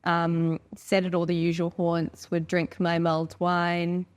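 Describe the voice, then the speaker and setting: A woman's voice: on the low side, monotone, measured pace, clean tone. A woman from New Zealand with a New Zealand accent, chatting informally and in a rambling, anecdotal way as an interview host. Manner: informal, rambling, acts out quoted dialogue. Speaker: podcast host. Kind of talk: casual chat, anecdotal.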